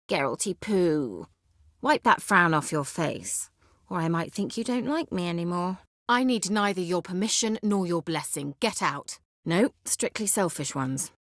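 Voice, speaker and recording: A haughty young sorceress from a fantasy game. Her speech is teasing and sardonic, with a very animated, expressive pitch. The sound is dry, with no room around it. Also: no room sound, heavily acted. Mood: mocking, teasing. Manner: haughty, teasing, biting sarcasm. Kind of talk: video game character dialogue.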